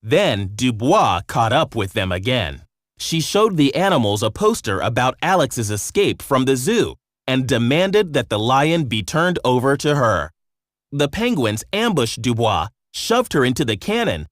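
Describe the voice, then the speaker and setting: Animated male storybook narrator. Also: medium pitch, unhurried, no echo, studio quality. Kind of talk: scripted children's storybook narration.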